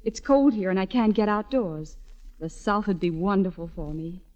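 An actress playing a devoted, encouraging young wife in 1940s radio drama style. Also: female voice, medium pitch, animated, moderate pace, darkish tone, lightly acted. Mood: pleading. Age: young adult. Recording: muffled old radio, long reverb, faint music.

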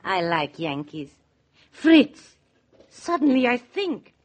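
Soft-hearted, bossy German-accented cook and wife, slightly high voice, old-time radio drama. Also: female voice, big pitch swings, slow pace, heavily acted. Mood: worried, self-reproaching. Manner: emphatic, stilted immigrant English. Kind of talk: 1940s radio drama acting.

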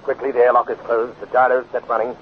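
A man's voice: medium pitch, completely flat delivery, fast talker, lightly acted. An actor performing as the dramatic, declamatory male narrator of a 1950s children's space adventure radio serial. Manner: declamatory, urgent. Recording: tinny, noisy 1950s radio recording.

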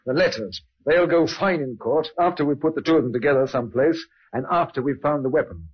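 A man's voice: on the high side, brisk, slightly rough. An actor playing a shrewd, methodical police inspector in a 1950s radio drama, a man's voice. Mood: brisk, commanding. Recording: dull old radio recording.

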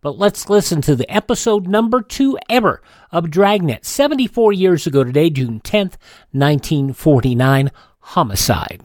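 A man's voice: fairly high pitch, lively intonation, normal speed, darkish tone, no room sound, clean studio sound. A man hosting a classic radio program, introducing it informally. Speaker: radio host.

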